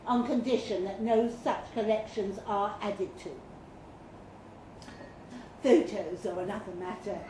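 A woman's voice: fairly high pitch, dark tone. A woman giving a live reading of a comic guidebook in a dry, mock-official tone with playful emphasis. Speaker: reader at a live fiction event. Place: pub function room with live audience. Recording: roomy live pub recording with noise. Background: audience laughter and room noise.

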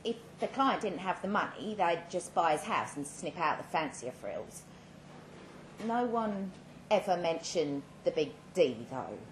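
Low-voiced woman reading a story live. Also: very animated, a little slow. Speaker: short story reader. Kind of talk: dramatic literary reading of a first-person story. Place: indoor live literary event. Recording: roomy live venue, clear background noise.

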